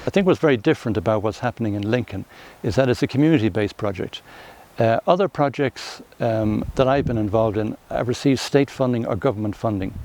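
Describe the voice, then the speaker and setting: Guy sculptor, average pitch, kinda reflective, explaining his piece. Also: fairly quick, a little mellow. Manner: reflective, loose explanatory talk. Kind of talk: documentary interview.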